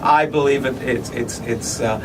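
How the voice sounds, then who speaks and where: A man's voice: mid-range voice, fairly even intonation. Australian man, distant and noisy street. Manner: halting, many restarts and fillers. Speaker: interviewee, filmmaker. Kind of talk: casual street interview. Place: outdoors at a street event. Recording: distant mic, loud street noise.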